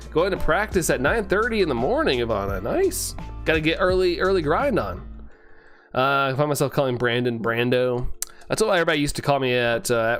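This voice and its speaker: A young man streaming and chatting casually as he reads comments, laughing often, with a voice that keeps giving out. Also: on the high side. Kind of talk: casual live-stream chat reading comments.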